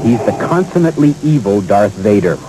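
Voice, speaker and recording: A man narrating a scripted feature as a reporter, polished and measured. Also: on the low side, sing-song, heavy background noise.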